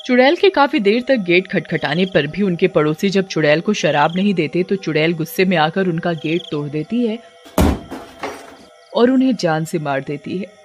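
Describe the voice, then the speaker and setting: A woman's voice: lowish voice, animated, moderate pace, highs cut off, music in the background. A woman giving scripted narration of a story as its narrator.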